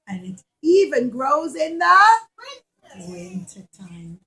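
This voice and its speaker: High-voiced woman telling a children's story, unhurried. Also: very animated, pushed and bright, breathy edge. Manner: slow, repetitive, simple teacherly phrasing. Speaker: puppet show storyteller for children.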